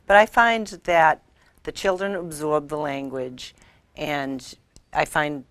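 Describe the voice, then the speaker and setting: A woman's voice: low voice, fairly even intonation, slow pace, fairly bright tone, clear, resonant tone, no echo. A middle-aged woman, a school committee member, speaking earnestly as a guest in a small studio interview, often saying "you know". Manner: earnest, uses many fillers like "you know". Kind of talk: interview conversation. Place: small TV studio set at a table.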